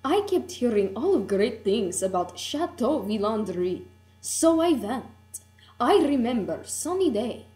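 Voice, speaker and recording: A woman's voice: a little high, very animated, unhurried, dark tone. A woman playing a fond tourist remembering a trip to France in a scripted puppet show. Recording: echoey room.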